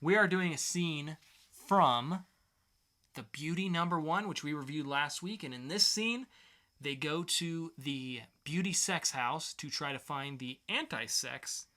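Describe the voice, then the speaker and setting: A man's voice: on the high side, a little slow, sharp tone. A man co-hosting a casual comics podcast with a theatrically sarcastic, mock-grandiose delivery. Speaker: podcast co-host. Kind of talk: casual comic review chat.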